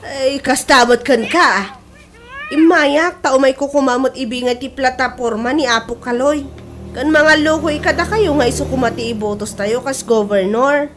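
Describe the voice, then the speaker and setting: A woman's voice: sits high, normal speed, a bit bright, soft background music. A woman acting an eager, pushy campaign supporter in an Ilocano comedy radio drama, speaking with declamatory emphasis. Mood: cheerful, persuasive.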